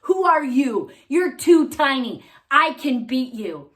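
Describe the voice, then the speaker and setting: A woman voicing a huge, mean male giant warrior who taunts slowly and loudly. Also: high voice, sing-song, voice pushed up, heavily acted. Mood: taunting. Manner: taunting, slow and loud.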